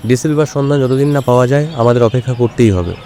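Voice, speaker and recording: A man acting a shrewd, commanding older detective in an audio drama, authoritative and measured. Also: mid-range voice, warm tone, slight background noise, close to an everyday voice. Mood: thoughtful.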